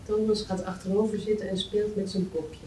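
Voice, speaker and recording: A woman's voice: mid-range voice, level tone, fairly quick, mellow. A woman reading scripted stage directions at a table read. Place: meeting room. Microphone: far camera. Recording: roomy, distant mic, faint noise.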